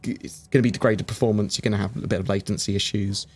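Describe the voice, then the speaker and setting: A man's voice: on the low side, fairly even intonation, quick pace, breathy edge. Chatty man answering livestream chat. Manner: chatty, reads viewer questions aloud and answers with many fillers. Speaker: streamer answering chat questions. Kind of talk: casual livestream Q&A.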